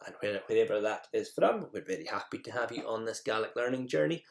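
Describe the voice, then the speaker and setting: A man's voice: on the low side, animated, quick pace, vocal fry. A man presenting a language lesson in an informal, instructional manner, guiding learners through slides and examples.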